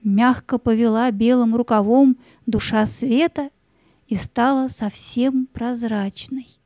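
A woman narrating a children's audio play as a scripted story, in an expressive, lyrical storytelling manner. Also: fairly high pitch, takes it slowly, no echo.

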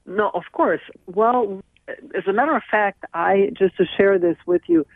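A middle-aged woman from Serbia, speaking as a guest over the phone in an earnest, halting way with many restarts. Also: mid-range voice, lively intonation, gravelly, no echo. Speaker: film director and guest. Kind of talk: phone interview. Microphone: telephone. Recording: tinny dry phone line.

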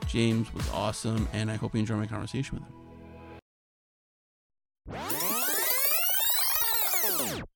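A man's voice: medium pitch, wildly swooping pitch, slow pace, long pauses, music in the background. A man hosting a podcast interview in a casual, enthusiastic way while he is losing his voice. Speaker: podcast host.

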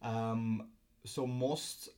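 A man's voice: mid-range voice, fairly even intonation, drawn-out words, a little mellow. A young man from Greece, a filmmaker and student guest, talking casually with many ums and restarts. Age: young adult. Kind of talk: casual interview.

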